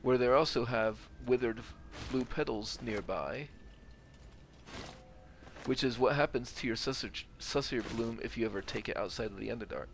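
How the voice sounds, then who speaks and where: A man's voice: mid-range voice, normal speed, vocal fry, slight background noise. A man giving a casual, explanatory video game walkthrough commentary.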